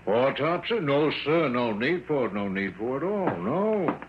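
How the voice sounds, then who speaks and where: An actor playing a brusque, dismissive old country doctor in a radio drama, folksy, hemming and repeating himself. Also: male voice, medium pitch, sing-song, normal speed, breathy edge. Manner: folksy, repetitive, hemming, insistent. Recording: dull, faintly noisy vintage radio recording.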